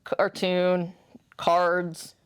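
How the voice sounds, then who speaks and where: A woman co-hosting a review in a casual, expressive, gushing chat style. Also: fairly low pitch, very slow, clear, resonant tone. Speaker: toy review co-host.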